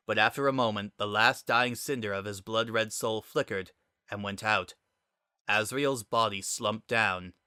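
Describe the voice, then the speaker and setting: Audiobook narrator: crisp bright tone, medium pitch, close dry sound, dramatic scripted delivery. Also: no room sound.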